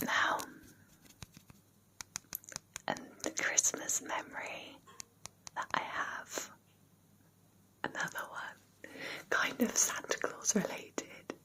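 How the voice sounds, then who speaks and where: A young British woman whispering personal memories in a soft, rambling, sentimental ASMR style with many ums. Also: slow, pauses often, poor audio. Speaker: ASMR creator.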